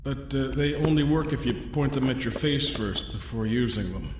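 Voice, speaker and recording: A man playing a trapped young adventurer in an audio drama, dry and sardonic, with halting, defiant delivery. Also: mid-range voice, echoey room, background noise, lightly acted. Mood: sarcastic, defiant.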